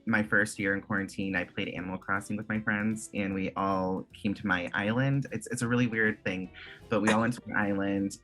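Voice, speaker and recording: A young man from Michigan in his twenties, talking in a loose, chatty way and leaning on "like" constantly. His voice sits a bit low but sounds bright and forward. Faint music hums underneath. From Michigan, USA. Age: 20s. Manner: chatty, lots of "like" fillers. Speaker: guest. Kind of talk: casual interview chat.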